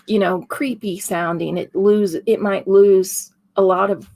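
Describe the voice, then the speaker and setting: A raspy woman co-hosting a laid-back paranormal talk stream. She speaks slowly with a gravelly, creaky edge and laughs easily between thoughts. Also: medium pitch, fairly even intonation, darkish tone. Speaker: paranormal investigator co-host. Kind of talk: casual livestream chat.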